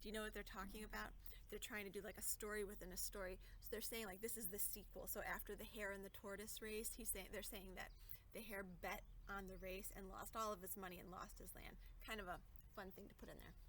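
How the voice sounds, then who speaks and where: A woman's voice: mid-range voice, flat delivery, quick pace, fairly bright tone, slight background noise. A woman reading a children's story aloud expressively, adding explanatory asides and questions for young listeners. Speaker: storybook reader.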